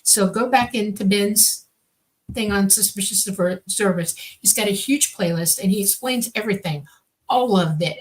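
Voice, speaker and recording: A woman's voice: medium pitch, low-quality recording. Older woman with a slight Swedish lilt. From born on a military base, raised all over. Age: 60s. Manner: rambling, many fillers and restarts. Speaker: co-host, contactee. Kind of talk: casual podcast chat.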